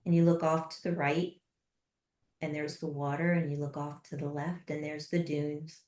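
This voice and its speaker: Low, steady-voiced woman guiding a meditation. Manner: slow, soft and unhurried with long pauses. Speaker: guided meditation leader. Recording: roomy echo.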